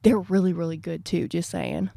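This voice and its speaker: A woman's voice: lowish voice, moderate pace, creaky, mellow, no room sound, clean studio sound. A young woman hosting a podcast in a chatty, folksy way. She is congested and stuffy-nosed from allergies. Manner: chatty, folksy, says y'all often. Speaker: podcast host. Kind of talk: casual podcast talk to viewers. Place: screened-in patio at a house.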